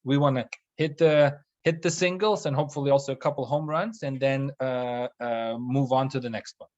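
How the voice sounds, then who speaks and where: A young man, co-founder of an investment firm, presenting in a business webinar and speaking haltingly with frequent ums and restarts. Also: mid-range voice, steady tone, a little mellow, lo-fi sound. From Russia. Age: young adult. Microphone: video call.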